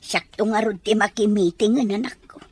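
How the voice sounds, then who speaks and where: A woman's voice: mid-range voice, fairly even intonation, a little fast, a little hiss or hum, lightly acted. A woman acting a caring, worried older mother and grandmother in an audio drama. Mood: concerned.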